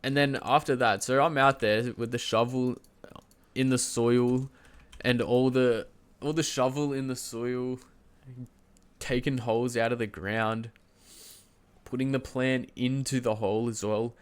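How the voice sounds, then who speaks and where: A man's voice: mid-range voice, steady tone, unhurried, clean tone. A young man with a cold and a stuffy, nasal voice, casually rambling through a story to his live stream chat, full of fillers and restarts, standing and moving around as he talks. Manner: loose and chatty, lots of fillers and restarts, laughs mid-sentence. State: sick with a cold, congested and nasal. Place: home streaming setup.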